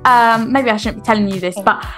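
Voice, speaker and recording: A woman's voice: a little high, fast, fairly bright tone, soft background music. A young woman with a British accent, chirpy and exclaiming, doing a game roleplay vlog as the mum. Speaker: video creator playing a mum in a game roleplay.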